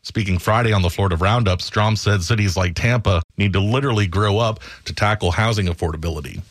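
Low-voiced American man reading news. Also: quick pace, dry recording, clean studio sound. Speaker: radio news anchor. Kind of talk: scripted news headline reading.

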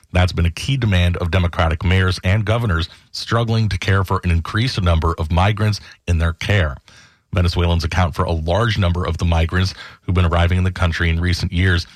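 A male radio news anchor with a very low voice reads headlines in a steady, measured way. The studio sound is dry and close, with no room around it. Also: dry recording. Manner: steady, measured newsreader delivery. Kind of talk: scripted news reading.

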